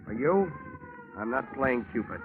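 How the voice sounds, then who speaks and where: A man's voice: mid-range voice, animated, measured pace, noisy background, close to an everyday voice. A man acting a wry, cool-headed American insurance investigator who narrates a hard-boiled 1950s radio detective drama. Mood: dry. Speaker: lead actor and narrator. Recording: tinny old radio broadcast with music.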